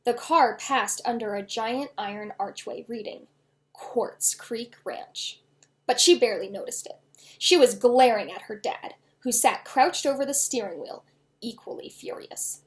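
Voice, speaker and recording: A woman author reading her own children's novel aloud in an expressive storytelling style. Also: on the high side, normal speed.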